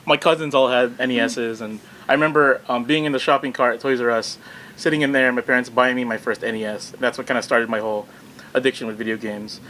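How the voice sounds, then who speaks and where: A man's voice: medium pitch, fairly even intonation, fast, a bit bright, rough recording. Male podcast guest, mid-range voice, self-deprecating storyteller, conversational, slight background noise. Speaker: podcast guest, video game company public relations specialist. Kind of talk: casual group chat, telling anecdotes.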